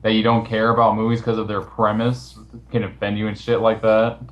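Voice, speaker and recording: A man's voice: lowish voice, completely flat delivery, a little fast, low-quality recording. A man hosting a casual online voice chat, talking in an informal, matter-of-fact way. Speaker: host of the recorded chat. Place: online voice call.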